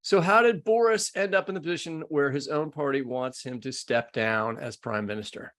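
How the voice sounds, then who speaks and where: An American man with a somewhat high-pitched voice hosts a podcast. He sounds informal and joking, shifting easily from read introductions to conversation. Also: moderate pace, fairly bright tone. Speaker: podcast co-host. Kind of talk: conversational hosting, part scripted introductions.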